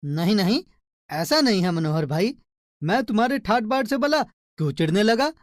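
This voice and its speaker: An actor playing a polite, needy labourer in a cartoon story, flattering and pleading with his friend. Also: mid-range voice, normal speed, no room sound, clean studio sound, close to an everyday voice. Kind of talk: children's cartoon story dialogue.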